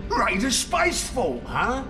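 A man's voice: extremely high pitch, huge pitch swings, slow, exaggerated voice. A man voicing a doubting ork underling in a video game, with crude slangy speech. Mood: sceptical. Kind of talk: video game character acting. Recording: voice under loud game music.

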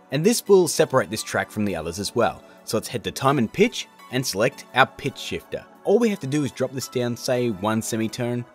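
A man hosting a software tutorial in a casual, chatty, jokey narrating style. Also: medium pitch. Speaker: tutorial host.

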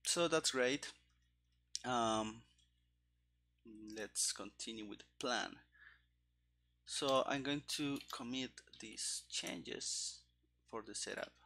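A man in his thirties talking through live coding in a halting, muttering way, into a headset. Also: mid-range voice, vocal fry, bright, forceful tone. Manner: halting, muttering to himself while working. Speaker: programmer, host of coding stream. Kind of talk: live coding commentary, thinking aloud. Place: indoor room at a computer desk. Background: keyboard typing and clicking.

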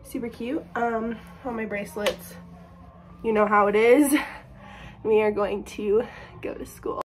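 A woman's voice: fairly high pitch, fairly even intonation, a little slow, soft background music, rough recording. A teenage girl vlogging to her phone, chatting upbeat and rambling with lots of fillers. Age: teens. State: losing her voice. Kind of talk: casual vlog chat. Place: bedroom at home, sometimes outdoors or in a car. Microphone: phone camera held close.

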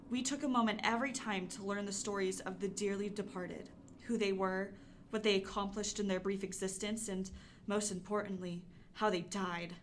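A woman's voice: mid-range voice, slight background noise, lightly acted. A woman playing a bold, pushy and curious teenage sister in an audio drama.

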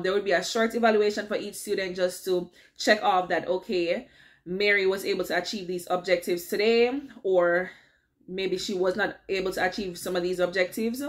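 A woman's voice: medium pitch, fairly even intonation, normal speed. A middle-aged woman with a Jamaican accent, chatting through a casual advice vlog from a home office and checking in with her viewers as she goes. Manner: chatty, keeps checking in with "all right?". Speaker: vlogger. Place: home office with window blinds.

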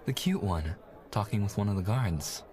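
A man's voice: on the low side, expressive, moderate pace, a little mellow, natural voice. A man playing a confident, friendly god in an audio roleplay, talking in a casual, teasing way. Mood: teasing, conspiratorial.

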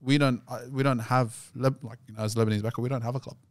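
A man from Sydney with an Australian accent, a podcast co-host telling stories in a relaxed, casual way. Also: mid-range voice, quick pace, no room sound.

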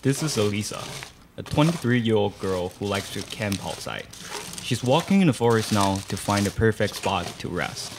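A man's voice: lowish voice, lively intonation, normal speed, noisy background. A young man reading scripted narration for a student radio play into a studio microphone. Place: small studio, indoors. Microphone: boom stand microphone, close. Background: guitar music.

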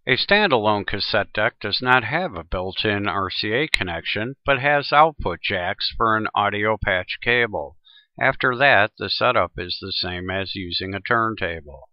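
Male tutorial presenter, deep voice, scripted how-to read, dry and slightly dull recording. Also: lively intonation, moderate pace, no echo. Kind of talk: scripted instructional narration.

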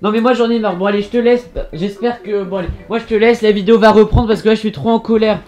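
A man's voice: sits high, fairly even intonation, fast, darkish tone, highs cut off. A young man vlogging at home as a big brother, teasing and laughing often in a playful way, recorded on the camera mic. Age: young adult. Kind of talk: playful family vlog. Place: indoors, bedroom and kitchen of a home.

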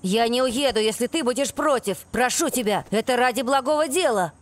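A woman's voice: on the high side, brisk, lightly acted. A woman voicing an earnest, headstrong teenage boy pilot in a cartoon dub. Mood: pleading. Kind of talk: animated series dub.

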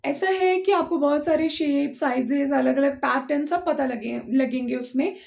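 A woman's voice: sits high, normal speed, vocal fry, a little mellow. A young woman with an Indian accent narrating a casual beauty vlog, switching between two languages as she talks. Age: young adult. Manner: switches between Hindi and English. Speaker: beauty vlogger.

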